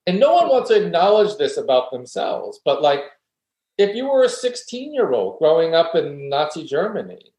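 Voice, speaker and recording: A man's voice: on the high side, very animated, moderate pace, darkish tone. A man, a philosophy guest, earnestly explaining his argument step by step in an interview. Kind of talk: conversational interview, reflective argument. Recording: roomy echo.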